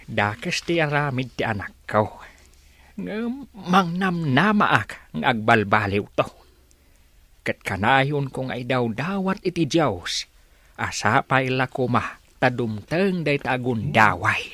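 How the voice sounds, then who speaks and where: A man's voice: mid-range voice, big pitch swings, slow pace, fairly bright tone, no echo, exaggerated voice. A man playing an old, fretful, prayerful village father in an Ilocano radio drama, plaintive about his wayward son. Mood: exasperated, pleading.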